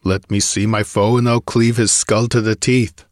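Man voicing a blunt, low barbarian king. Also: flat delivery, normal speed, no room sound, clean studio sound, natural voice. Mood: uneasy, defiant. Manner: blunt, bold, archaic speech. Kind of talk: dramatic audiobook fantasy reading.

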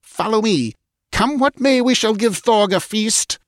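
A man's voice: sits high, expressive, unhurried, dry recording, studio quality, voice pushed up. A man voicing a wicked, imperious false queen with a harsh, commanding manner in a storybook reading. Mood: grim, vengeful. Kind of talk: dramatic audiobook character voice.

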